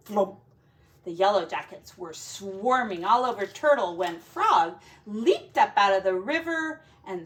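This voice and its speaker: A woman reading a children's folk tale aloud in an expressive, dramatic and deliberate way, like a teacher. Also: medium pitch. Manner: dramatic, deliberate, with quiet asides to young listeners.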